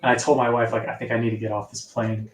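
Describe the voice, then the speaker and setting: A man with a soft, sleepy-sounding voice, chatting casually as an author guest in a live interview. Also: medium pitch, flat delivery, fast, slight background noise. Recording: echoey room, distant from mic.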